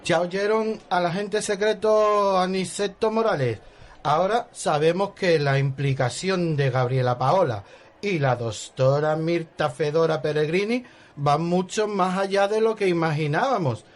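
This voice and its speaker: A man's voice: sits high, a little slow. A man playing a clueless, self-important boss in a parody radio soap, pompous and dramatic. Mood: grave, pompous.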